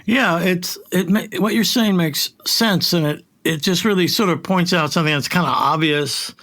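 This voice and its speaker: A man speaks in casual interview chat, his voice somewhat high and distinctly bright. He comes across as an informal host and director talking shop in everyday phrasing. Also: normal speed.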